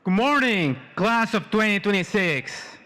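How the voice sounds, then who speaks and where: Higher-voiced young man giving a speech. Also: expressive, unhurried, bright, forceful tone. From Mexico. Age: 20s. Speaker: student salutatorian. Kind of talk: formal prepared commencement speech.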